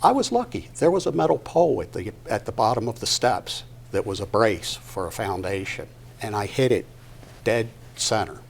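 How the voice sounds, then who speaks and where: An older man giving a personal testimony to an audience at a live event, halting and deliberate, pausing mid-sentence. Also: lowish voice, sing-song, gravelly. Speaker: guest speaker at a patient discussion event. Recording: noticeable background noise, live event.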